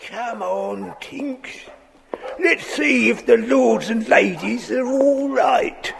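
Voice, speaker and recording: An actor playing a rough, wary old man who mutters and chats to his little dog in an audiobook drama. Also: extremely high pitch, crowd noise, heavily acted. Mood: muttering, fond. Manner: slow, drawling, chatty to his dog. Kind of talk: audiobook radio-play acting. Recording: echoing hall, noisy hiss.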